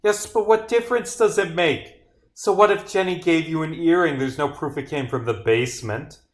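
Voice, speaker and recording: A man's voice: a little high, moderate pace, echoey room, voice pushed up, close to an everyday voice. A man voicing a haughty, defiant woman murder suspect in a visual novel, indignant and dismissive.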